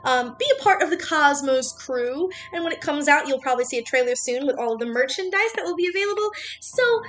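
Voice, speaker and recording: High-pitched woman chatting on a livestream. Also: animated, brisk, a bit bright. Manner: chatty, addressing viewers directly. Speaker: streamer hosting a study-with-me chat stream. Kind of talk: casual livestream chat. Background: music.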